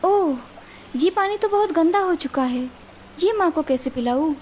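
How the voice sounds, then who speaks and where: A woman's voice: very high voice, expressive, normal speed, darkish tone, slight background noise. A woman voicing a young village wife who is fed up with housework and complains sarcastically. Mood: questioning.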